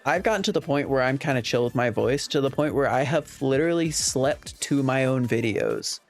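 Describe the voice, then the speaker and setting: Casual man streamer with a creaky voice. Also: mid-range voice, animated, moderate pace. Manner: rambling, conversational. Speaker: livestream host. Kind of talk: casual livestream chat, responding to viewers. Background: soft background music.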